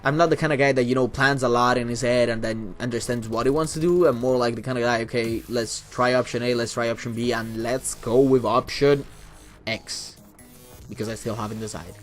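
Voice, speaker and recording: A man's voice: mid-range voice, fairly even intonation, fairly quick, faint music behind. Young Italian man, swearing, rambling. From Italy. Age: young adult. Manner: rambling, profane, frequent restarts. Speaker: YouTuber and streamer. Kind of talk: casual Q&A vlog.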